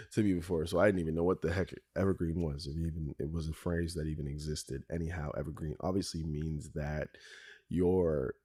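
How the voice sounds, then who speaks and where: A man hosting his own podcast in a rambling solo monologue, his voice hoarse and raspy from a cold, chuckling often between asides. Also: sits low, darkish tone. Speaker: podcast host.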